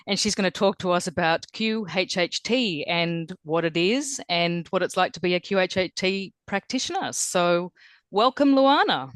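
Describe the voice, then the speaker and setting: A woman hosting an interview, asking questions and cheering her guest on with short replies. Her voice is lowish and smooth, and the recording is dry and close. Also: animated, normal speed, fairly bright tone, dry recording. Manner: encouraging, short reactions. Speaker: interview host.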